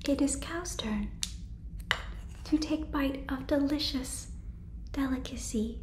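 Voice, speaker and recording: A young woman playing a naive, earnest alien who speaks haltingly and awkwardly in a soft-spoken ASMR sitcom. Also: high voice. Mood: earnest, formal. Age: young adult. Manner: stilted, halting, clipped grammar. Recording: roomy echo, background noise.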